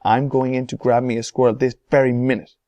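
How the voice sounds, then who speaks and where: A man's voice: medium pitch, expressive, moderate pace, dry recording, lo-fi sound. A man voicing a spoiled young girl who whines and demands things. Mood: demanding. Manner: whiny, demanding.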